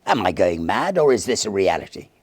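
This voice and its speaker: A man voicing a resolute, excitable Victorian medical man, intense and dramatic, in a ghost story reading. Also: sits low, a little fast, pitched above the performer's own voice. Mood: horrified.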